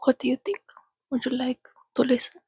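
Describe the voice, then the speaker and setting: An ancient vampire played by a woman speaks in a very breathy, hushed whisper. She takes her time, coaxing and soothing, with a gently teasing edge, as in an intimate ASMR roleplay. The sound is dry and close, with a crackle of fry at the edges. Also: sits high, animated, no room sound, heavily acted. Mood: soothing, inviting. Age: over a thousand years old, as played. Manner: slow, coaxing, conversational with pauses. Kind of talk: ASMR roleplay, soft-spoken.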